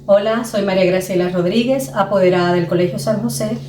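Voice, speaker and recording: A woman's voice: mid-range voice, brisk, a little mellow, slight background noise. A woman from Venezuela, a school parent giving a composed, earnest testimony. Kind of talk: personal testimony. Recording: echoey room from a distance.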